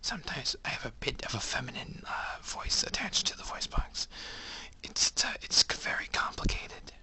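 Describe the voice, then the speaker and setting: A man voicing a secretive male animatronic bear who talks only in a breathy whisper. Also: mid-range voice, very animated, normal speed, pushed and bright, voice pushed down. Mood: hushed.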